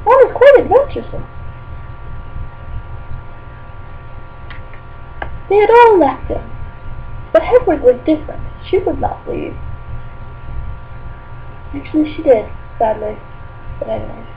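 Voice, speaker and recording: A woman's voice: high voice, animated, moderate pace, long pauses. A woman voicing a dreamy, oddball teenage witch girl who reads fan fiction aloud in character, with airy, politely curious, rambling asides. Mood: musing, serene. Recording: tinny phone-like sound with faint hum.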